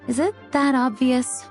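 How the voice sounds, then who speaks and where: A woman's voice: high-pitched, full character voice. A woman playing a composed, dutiful knight commander in a video game, formal and courteous.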